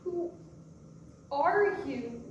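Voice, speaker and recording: In a very echoey hall with faint noise, a distraught mother played by a woman speaks in a stage drama. She is halting and pleading, a troubled drinker desperate for her children. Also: sits high, animated, lo-fi sound, heavily acted. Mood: subdued, dazed. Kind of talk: staged play reading. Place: indoor performance space before an audience.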